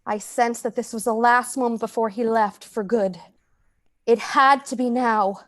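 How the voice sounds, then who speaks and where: An actress playing a cold, obsessive experimenter, narrating formally and intensely in the style of an old time radio horror drama. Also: female voice, a little high, fairly even intonation, measured pace, fairly bright tone, no echo, clean studio sound. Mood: hushed urgency.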